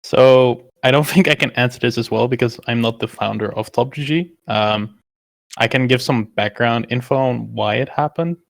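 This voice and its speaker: Gravelly man, hesitant with uhs. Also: mid-range voice, moderate pace, dry recording. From Netherlands. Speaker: tech lead answering questions. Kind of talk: casual Q&A.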